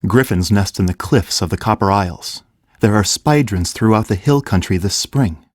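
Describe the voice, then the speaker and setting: A male actor as a fantasy king with a low voice, gentle and earnest. He talks in a measured, grave manner, as a kind ruler would, in a dry, close audiobook recording. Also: expressive, no room sound, lightly acted. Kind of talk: full-cast audiobook acting.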